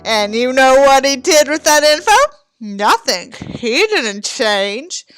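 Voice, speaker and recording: A woman puts on a melodramatic, self-righteous teenage boy reading out his grievances like an audiobook. The voice is high and swings wildly, dragging out words in bitter, overwrought emphasis. Music sits behind it. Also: expressive, takes it slowly, a bit bright, full character voice, poor audio. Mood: scornful. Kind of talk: mock-dramatic audiobook reading.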